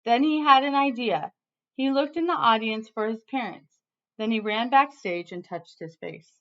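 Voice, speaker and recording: A woman teacher reading a storybook aloud to students in an animated, emphatic way, with casual asides. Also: on the high side, normal speed, highs cut off.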